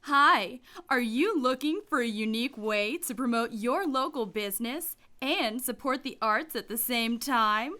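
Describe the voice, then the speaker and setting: Woman doing a radio ad, upbeat, bright sharp voice, pitched a bit high. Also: animated, measured pace, few pauses, faint music behind. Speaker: ad announcer. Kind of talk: radio sponsorship ad.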